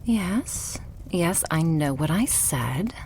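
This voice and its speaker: ASMR roleplay casting: woman as devoted, stubborn vampire girlfriend, lowish soft breathy voice, unhurried intimate delivery, lightly teasing, creaky edges. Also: expressive, a little hiss or hum, full character voice, rough recording. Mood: loving, hopeful. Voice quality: soft, breathy, often whispered. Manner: slow, tender, coaxing, lightly teasing. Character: loving, doting, stubborn female vampire girlfriend.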